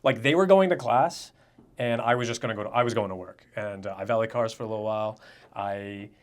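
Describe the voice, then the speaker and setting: A man's voice: on the low side, fairly quick. A young man from Rhode Island co-hosting a podcast, talking in a loose, joking, unfiltered way. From Rhode Island, USA. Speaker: podcast co-host. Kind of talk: casual chat.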